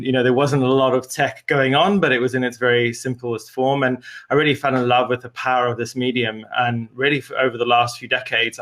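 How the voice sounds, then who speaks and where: A man's voice: medium pitch, fairly bright tone. A man from Zimbabwe, a guest in an interview, telling his story earnestly with many fillers and restarts. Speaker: guest, media company co-founder. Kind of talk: conversational interview answers.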